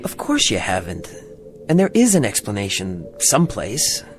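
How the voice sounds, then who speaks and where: A man's voice: lowish voice, wildly swooping pitch, moderate pace, faint music behind. An actor playing a kindly, patient man traveler in an audio drama, speaking in a natural, tentative, soothing way. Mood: reassuring. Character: kindly, reassuring male fellow traveler, puzzled but patient.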